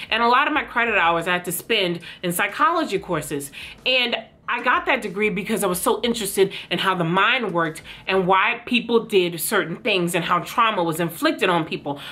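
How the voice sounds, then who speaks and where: A woman hosting a casual commentary vlog, chatty and talking straight to her viewers, her voice slightly hoarse from being under the weather. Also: on the low side, sharp tone. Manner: chatty, addresses viewers as girl. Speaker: YouTube commentary host.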